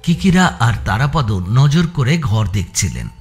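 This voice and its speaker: A man's voice: medium pitch, very animated, unhurried. A man narrating a scripted suspense audio story as its narrator. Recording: music bed behind the voice.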